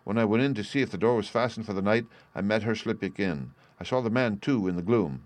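A man voicing an earnest, polite young gentlewoman in a storybook, pleading with emphasis. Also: fairly low pitch, quick pace, no echo, natural voice. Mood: polite, eager.

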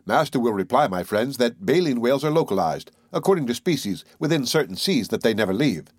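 A man playing a patient, gently amused professor of natural history in an audiobook, measured and didactic. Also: mid-range voice, big pitch swings, husky, dry recording. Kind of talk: audiobook character voice.

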